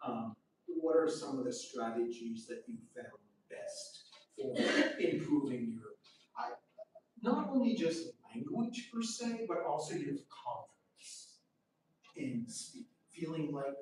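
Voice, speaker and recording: This is a middle-aged man with a Canadian accent, speaking as an English teacher in a live Q&A. He sounds upbeat and encouraging, with lots of "uh"s, and his voice sits a bit high for a man. The recording is boomy and roomy, and he sounds set back from the mic. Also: big pitch swings, measured pace. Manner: upbeat, encouraging, chatty with many fillers. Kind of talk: live-stream Q&A and promotion. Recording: echoey room, voice heard from a distance.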